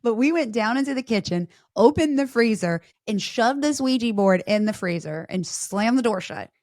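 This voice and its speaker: Animated woman in her forties telling stories. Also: a little high, moderate pace, dry recording, clean studio sound. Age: 40s. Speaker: podcast guest. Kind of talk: casual storytelling chat.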